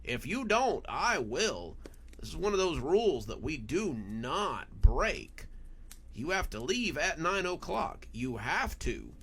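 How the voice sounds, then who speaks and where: A man voicing a frightened, insistent mall worker in a horror story, urgent and halting as he gives warnings. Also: a little high, big pitch swings, fairly bright tone, slight background noise, pitched above the performer's own voice, exaggerated voice. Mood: alarmed, insistent. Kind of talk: audiobook horror story dialogue.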